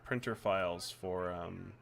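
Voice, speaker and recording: A hoarse man with a groggy voice, co-hosting a live game stream, chatting casually and reading out viewer comments. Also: deep voice, unhurried. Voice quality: groggy, gravelly. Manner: reads viewer comments aloud. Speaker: stream co-host. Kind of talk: casual gaming chat.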